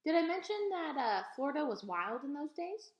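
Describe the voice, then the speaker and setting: A woman's voice: high-pitched, big pitch swings, moderate pace, lo-fi sound, voice pushed up. A woman voicing a proud, folksy elderly librarian in a storybook read-aloud, speaking with emphatic repetitions. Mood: proud, reminiscing. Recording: echoey room.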